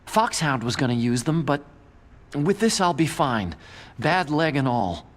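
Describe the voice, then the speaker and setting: An actor with an American accent playing an earnest, nerdy young scientist in a video game, anxious, idealistic and plaintive. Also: male voice, fairly high pitch, very animated, a little slow. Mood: matter-of-fact. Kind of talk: dramatic video game voice acting.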